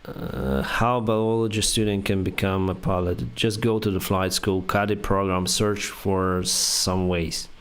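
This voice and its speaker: A young man speaking English as a second language, his first being Russian, with a lowish voice. He talks haltingly, pausing on fillers and restarting phrases, and reads out each viewer question before he answers it in a casual live-stream chat. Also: flat delivery, smooth.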